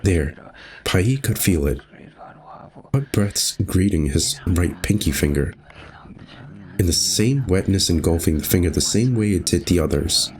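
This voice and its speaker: A man's voice: deep voice, expressive, unhurried, long pauses, darkish tone, low-quality recording. A man hosting a gaming video, reading visual novel narration aloud and adding casual commentary.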